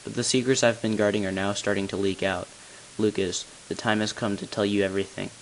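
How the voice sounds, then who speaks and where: A man playing a tall, solemn bell ringer who reveals long-kept secrets in grave, deliberate narration for a game. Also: on the low side, little pitch movement, close to an everyday voice. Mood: grave, urgent. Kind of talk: scripted character narration, amateur game voice-over. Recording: hissy, noisy amateur home recording.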